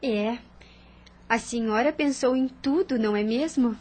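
High-voiced, anxious young niece. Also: female voice, measured pace, a little mellow. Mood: resigned, questioning. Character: anxious, dutiful young orphaned niece in a period drama. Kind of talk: radio soap opera acting.

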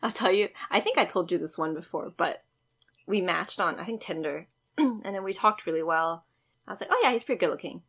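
A woman in her twenties co-hosting a relaxed talk, telling stories with animation and frequent giggles. Her voice is husky yet bright, sitting a little low for a woman. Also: a little fast. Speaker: podcast co-host. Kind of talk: casual chat, storytelling.

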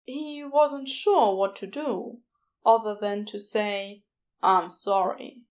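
Slow, high-voiced woman narrating fiction. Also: a little mellow. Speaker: audiobook narrator.

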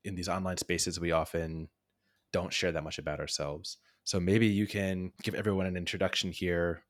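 A man's voice: low voice, level tone, normal speed, no room sound, studio quality. A man co-hosting a podcast and interviewing a guest in a casual, conversational style.

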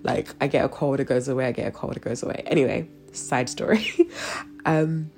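Stuffy-nosed woman with gravelly low voice. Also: fairly quick, darkish tone, faint music behind. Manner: conversational, with frequent fillers and restarts. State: stuffy nose from a cold. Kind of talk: devotional talk with a spoken prayer.

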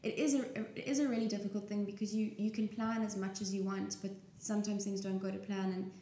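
A woman's voice: medium pitch, level tone, quick pace, warm tone. A woman in her twenties talking candidly and casually as an interview guest. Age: 20s. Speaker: interview guest, small business owner. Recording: roomy echo with clear background noise.

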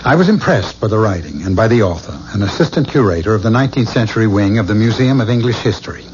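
An actor in a 1970s radio drama playing an anguished, haunted man who believes he is a killer reborn, with intense delivery and weighted pauses. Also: on the low side, expressive, darkish tone, natural voice. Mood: grave, intense. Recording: dull, noisy 1970s radio recording.

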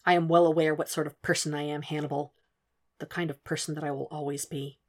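A woman reading the lines of a weary, guarded man in hushed, clipped replies. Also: low voice, steady tone, moderate pace, close to an everyday voice. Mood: bitter. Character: weary, guarded adult man, quiet and bitter.

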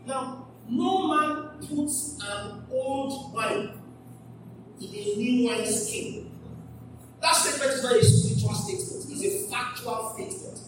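A man's voice: very high voice, slow pace. A man preaching a church sermon, emphatic and repeating phrases as he asks the congregation questions. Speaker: pastor. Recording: distant mic in an echoey hall with background noise.

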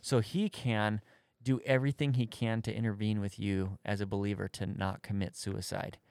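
A man's voice: fairly low pitch, flat delivery, moderate pace, vocal fry, darkish tone, dry recording. A man in his thirties on a podcast, talking candidly and thoughtfully, searching for his words as he explains his faith. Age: 30s. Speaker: podcast co-host answering faith questions. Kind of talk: casual conversation.

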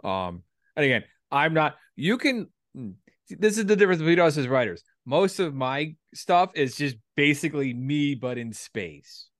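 A man in his thirties talking through writing ideas casually, in a halting, reflective way with some salty language. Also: on the high side, sing-song, fairly bright tone, dry recording, studio quality. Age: 30s. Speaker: writer and performer, military veteran. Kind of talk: casual creative discussion.

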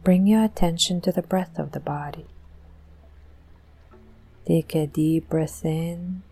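Slow, low, soothing woman guiding meditation. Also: fairly even intonation, vocal fry, husky, faint music behind. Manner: gentle, soothing, deliberate with long pauses. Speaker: meditation guide and host. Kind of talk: guided meditation.

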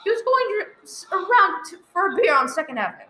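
A woman's voice: extremely high pitch, sing-song, fast, bright, forceful tone, full character voice. A woman playing an anxious, imperious, bedridden wife in a radio thriller, stammering and easily exasperated. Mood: agitated. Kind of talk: old radio thriller acting. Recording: roomy echo, live one-take recording.

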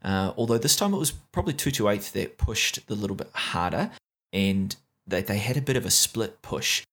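A man's voice: lowish voice, expressive, normal speed, fairly bright tone. A man with a New Zealand accent giving a casual recap of a competitive game match, with frequent fillers and restarts. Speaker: esports match recap caster.

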